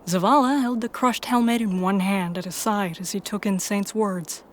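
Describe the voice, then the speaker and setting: A woman's voice: medium pitch, normal speed, slight background noise. A woman reading scripted first-person story narration as the narrator and protagonist of an audio drama.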